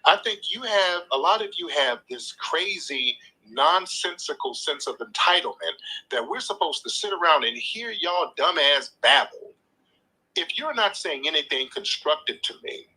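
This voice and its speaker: A combative man hosts a live call-in show, lecturing hard and cutting callers off. His voice is bright and edgy with a husky grain. His pitch is a little high and swings widely as he talks. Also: rough recording. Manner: combative, lecturing, repeats points; swears freely, talks over the caller. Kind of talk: heated live call-in argument.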